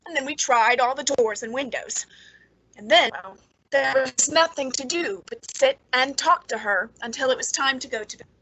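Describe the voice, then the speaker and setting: A woman's voice: sits high, bright, forceful tone, slightly rough, no room sound, heavily acted, poor audio. A woman playing a lonely, frightened, unstable spinster in an old-time radio suspense drama, with a nervous, intense manner.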